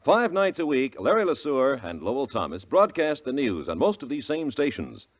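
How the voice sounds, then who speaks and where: American guy doing old 50s radio announcer promo, super lively up and down delivery. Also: mid-range voice, normal speed. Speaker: network announcer. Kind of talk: scripted 1950s radio promo. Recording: vintage 1950s radio broadcast recording.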